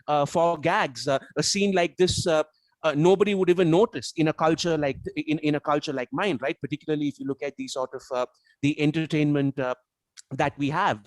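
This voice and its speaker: A man's voice: fairly high pitch, a little fast, no room sound. A man whose first language is Tamil, speaking as a guest in a casual analytical discussion, building careful arguments with frequent repeats and restarts. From South Asia, Tamil-speaking region. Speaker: guest reviewer on a book discussion.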